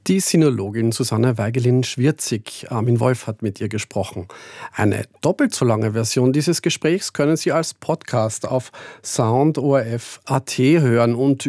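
A man announces upcoming radio programmes in a smooth, steady way. His voice is mid-pitched. The sound is dry and close. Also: no echo. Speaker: radio presenter.

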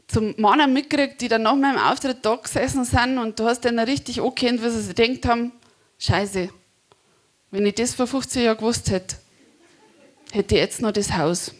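A woman in her forties with a Bavarian Regensburg accent and a slightly nasal voice, chatty and punchy, switching between a stand-up set and an interview. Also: on the high side. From Bavaria, Germany. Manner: chatty, punchy, self-mocking. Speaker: cabaret comedian.

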